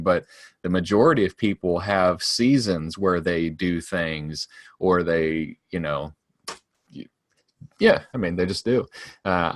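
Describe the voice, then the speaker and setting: A man's voice: low voice, no echo, clean studio sound. A man in his forties co-hosting a podcast, chatting casually, rambling with many restarts and laughing often. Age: 40s. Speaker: podcast co-host. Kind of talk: casual chat.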